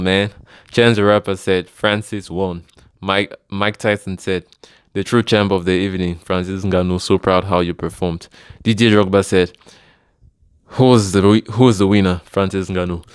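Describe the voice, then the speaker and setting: A man with a Cameroonian accent hosts a casual talk show in a deep voice. His sound is smooth and very clear. The recording is dry, with almost no room around the voice. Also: flat delivery, normal speed, dry recording. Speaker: host, interviewer.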